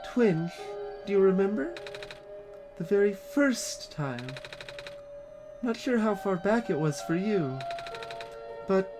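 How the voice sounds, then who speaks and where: Woman as a hesitant, gentle child hero, slow-paced. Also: medium pitch, lively intonation, soft background music. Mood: hesitant, reflective. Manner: hesitant, soft-hearted, earnest. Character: gentle, selfless lost child on a world-saving quest. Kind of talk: voiced video game dialogue reading.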